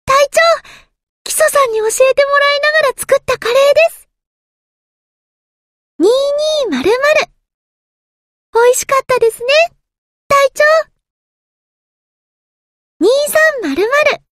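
A woman's voice: very high voice, expressive, a little slow, pauses often, a bit bright, no echo, heavily acted. A woman voicing a small, timid, earnest anime game submarine girl, with a thin childlike voice and a hesitant, polite manner. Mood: content, gentle. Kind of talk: anime game character voice lines.

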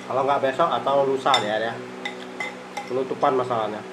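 Middle-aged man, food vlogger, even monotone, unhurried, recorded on a tabletop phone with dish clatter. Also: mid-range voice. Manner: slow, murmuring, eats between phrases, frequent "eh" fillers. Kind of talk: casual eating vlog. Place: small rented room indoors. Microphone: phone camera on the table. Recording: phone recording with room noise and cutlery clatter. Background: clinking cutlery and dishes.

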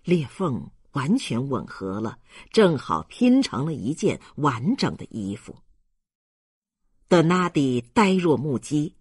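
Husky male audiobook narrator. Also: fairly high pitch, sing-song, takes it slowly, dry recording, studio quality. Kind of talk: dramatic scripted narration.

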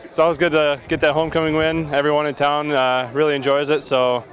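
A man's voice: on the high side, level tone, a little fast, a bit bright, some chatter behind. A young man, a college football player, giving easygoing short postgame answers outdoors on a field. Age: young adult.

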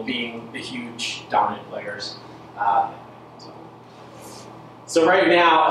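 A man giving a casual, joking tech presentation to a meetup audience on a handheld mic, with many fillers. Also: fairly high pitch. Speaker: meetup speaker. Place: large indoor event space with audience. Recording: echoey room, mic from far off, noisy.

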